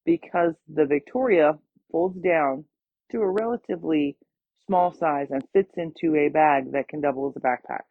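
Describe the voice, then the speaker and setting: A tired woman with a hoarse, scratchy voice, hosting her own podcast in a chatty, upbeat way. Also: low voice, no echo, narrow, tinny audio. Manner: chatty, upbeat, with small laughs. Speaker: podcast host. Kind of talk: casual solo podcast talk.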